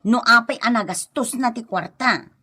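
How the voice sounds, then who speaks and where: A woman's voice: a little high, normal speed, breathy edge. A woman playing an exasperated, insistent young wife in an Ilocano radio comedy drama. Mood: complaining. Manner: scolding, insistent, sharp. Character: Rona, exasperated young wife scolding her husband.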